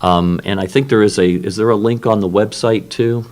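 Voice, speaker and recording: A man with a very low voice chairs a morning working session in a conference room. He talks into a room microphone in a halting way, full of ums and restarts. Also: fairly even intonation, darkish tone. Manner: halting, many fillers and restarts. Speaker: workshop co-chair and moderator. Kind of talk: opening remarks at a technical meeting.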